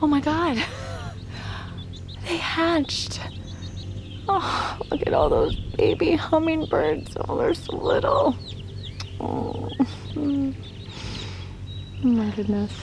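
A woman playing a tender, regretful maternal figure in an intimate audio drama, soft and gentle in manner. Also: high-pitched, big pitch swings, takes it slowly, pauses often, background noise, heavily acted. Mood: awed, tender. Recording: music under the voice.

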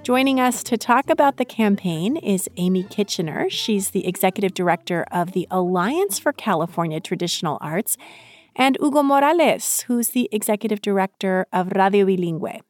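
A woman's voice: medium pitch, big pitch swings, moderate pace, a little mellow. A woman with an American accent presenting a scripted public radio segment as its host.

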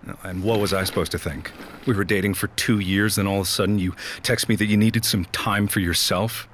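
A man's voice: lowish voice, fairly even intonation, a little fast, fairly bright tone, a little hiss or hum, close to an everyday voice. An actor playing a heartbroken, awkward night-shift worker in his twenties, downcast and hesitant, in a naturalistic audio drama. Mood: hurt, pleading. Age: 20s.

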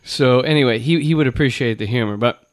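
A man's voice: medium pitch, fast, dry recording, studio quality. A man co-hosting a podcast, rambling in casual, crude, joking chat. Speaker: podcast co-host.

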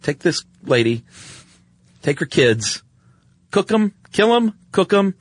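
A man's voice: high-pitched, sing-song, slow pace, breathy edge, no echo, clean studio sound. A man co-hosting a podcast, retelling stories in casual chat with a droll, offhand manner. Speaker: podcast co-host.

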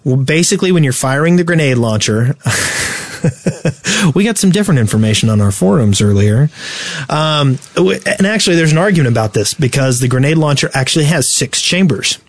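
A man's voice: fairly high pitch, lively intonation, moderate pace, dry recording. Guy on a gaming podcast walking thru info, chatty asides, close dry sound. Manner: explanatory, reading out facts and adding asides. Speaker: podcast co-host. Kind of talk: casual gaming podcast talk.

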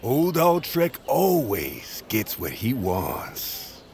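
An actor playing a grumpy, sarcastic old shopkeeper and sly haggler in an audio drama. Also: male voice, medium pitch, wildly swooping pitch, very slow, a little mellow, slight background noise, exaggerated voice. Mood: sly, amused. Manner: sarcastic, gruff.

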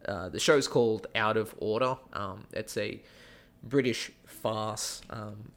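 A man speaks in a relaxed, rambling way, his Australian accent toned down. His voice has a gravelly fry to it, and his sentences drift through frequent fillers and restarts. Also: lowish voice, takes it slowly. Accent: Australian, softened. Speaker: guest, actor and school theatre teacher. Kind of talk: casual podcast chat.

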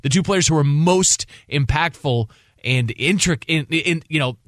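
A man's voice: on the high side, a little slow, no echo, studio quality. An American man co-hosting sports radio, talking through analysis in a conversational, wordy, explanatory way.

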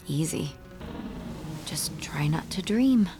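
A woman's voice: deep voice, animated, takes it slowly, over music, full character voice. An actress playing a young female artist in an intimate audio drama, troubled and stubborn, with a wry, direct manner. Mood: intimate, uneasy. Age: young adult.

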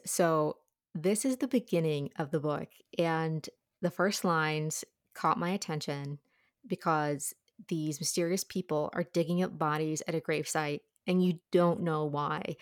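A woman's voice: low-pitched, a little slow, no echo, clean studio sound. A woman talking through a book conversationally as a guest reviewer on a podcast.

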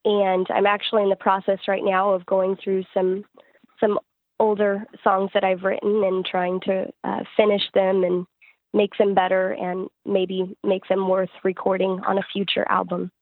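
A woman's voice: mid-range voice, monotone, normal speed, no echo. A woman singer-songwriter giving conversational answers in an interview, recorded with muffled, band-limited sound.

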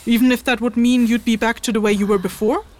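A polite, reserved adventurer, acted by a woman in an audio drama. She speaks in a fairly high voice, hesitant and questioning, as if thinking things over. There is slight background noise with clicks. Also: fairly even intonation, fast talker, natural voice. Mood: cautious. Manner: tentative, questioning. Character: hesitant, thoughtful fantasy investigator, polite and reserved.

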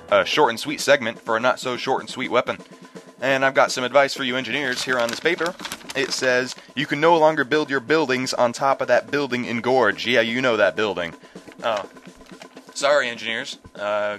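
A man's voice: medium pitch, pushed and bright. A man presenting a scripted advice segment for gamers. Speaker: segment contributor giving game weapon tips.

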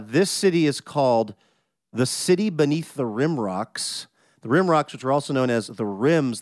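Male American radio host, mid pitch, slightly scratchy, conversational. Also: no room sound, studio quality. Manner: casual, conversational. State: slightly scratchy voice, under the weather. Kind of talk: scripted radio intro, casual banter.

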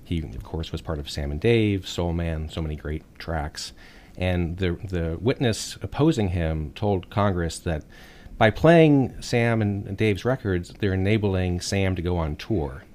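A man with a very deep voice tells stories on a podcast panel. He advocates for musicians and recalls meetings with lawmakers in an anecdotal way. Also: measured pace. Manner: anecdotal, warm storytelling with laughs.

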